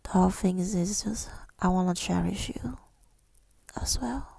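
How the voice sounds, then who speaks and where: Woman, tender ASMR whisper, very creaky, soft dark tone, breathy, hesitant, affirming, close mic. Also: fairly low pitch, moderate pace, dry recording. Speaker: ASMR creator speaking to listeners. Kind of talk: intimate ASMR monologue, mostly whispered.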